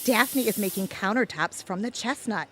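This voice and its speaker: A woman playing a confident, friendly, quick-witted best friend in a comedic audio drama. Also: mid-range voice, a bit bright, a little hiss or hum, natural voice. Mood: enthusiastic.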